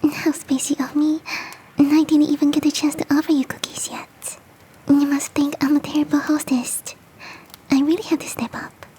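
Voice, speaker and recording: Casting: woman to play a gentle, doting yeti hostess for ASMR roleplay, high-pitched but mellow, whispering affectionately over faint noise. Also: little pitch movement, normal speed, natural voice, poor audio. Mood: bashful, admiring. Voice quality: soft, breathy whispering. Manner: gentle, coaxing, affectionate, self-deprecating. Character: big, sweet, doting female yeti hostess.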